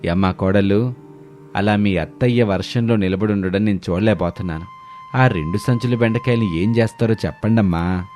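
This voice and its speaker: A man voicing a concerned older father-in-law who gently coaxes and pleads in a family moral story. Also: lowish voice, moderate pace, faint music behind, lightly acted. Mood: troubled. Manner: coaxing, pleading. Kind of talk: dramatised moral story dialogue.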